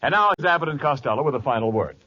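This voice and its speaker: A man's voice: medium pitch, animated, rapid-fire, sharp tone. A man working as a 1940s radio announcer, reading scripted sponsor and programme copy. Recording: muffled 1940s radio broadcast.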